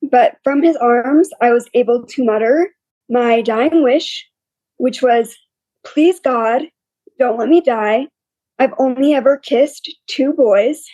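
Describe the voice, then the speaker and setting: A young woman with a scratchy, quiet voice, openly telling her story as an interview guest in a plain, matter-of-fact way. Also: fairly high pitch, slow pace, no echo. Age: young adult. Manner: open and matter-of-fact, listing hardships plainly. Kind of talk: candid personal storytelling.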